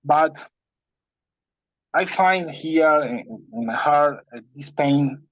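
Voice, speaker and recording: Slow, halting man with a Spanish accent. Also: fairly high pitch, animated, no room sound, lo-fi sound. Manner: halting, searching for words, many restarts. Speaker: participant sharing a personal problem.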